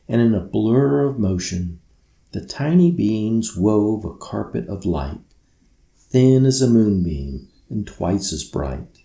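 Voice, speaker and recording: Slow, low-voiced man reading a storybook. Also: gravelly, warm tone. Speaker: author reading own book aloud. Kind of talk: scripted storybook narration. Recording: roomy echo.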